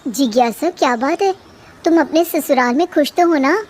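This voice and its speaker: A woman's voice: sits high, moderate pace, background noise, lightly acted. A woman voicing a scheming young sister-in-law in a moral story, confiding and confidently giving inciting advice. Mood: conspiratorial. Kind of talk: Hindi moral-story drama.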